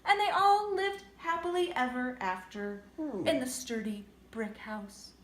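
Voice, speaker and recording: Woman, children's read-aloud, unhurried pace, sing-song, expressive, faint background noise. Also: sits high. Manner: expressive, sing-song, with questions to young listeners. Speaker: storyteller. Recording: echoey room with faint noise.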